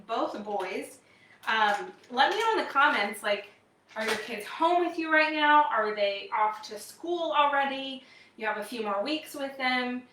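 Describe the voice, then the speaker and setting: A woman's voice: on the high side, sharp tone, slight background noise. A woman in her thirties with a Wisconsin accent, chatting casually and upbeat as she hosts a live product demo for viewers. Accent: American Midwestern, Wisconsin. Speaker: live demo host, direct-sales kitchenware consultant. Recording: roomy echo, distant microphone.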